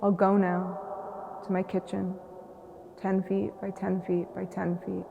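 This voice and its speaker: A woman playing an anxious, self-doubting performer in an audio drama, with hesitant, deliberate theatrical phrasing. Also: medium pitch, completely flat delivery, gravelly, narrow, tinny audio, noisy background. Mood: solemn, measured.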